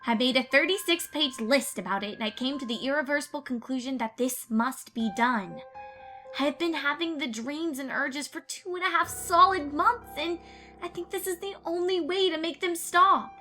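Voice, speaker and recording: A woman plays a young female pony student in a dark audio drama. Her voice is high and piercingly bright. She speaks with clinical precision and a false sweetness, coldly curious and quite unhinged. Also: normal speed, soft background music. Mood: earnest, obsessive. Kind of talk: dramatic fan fiction audio reading.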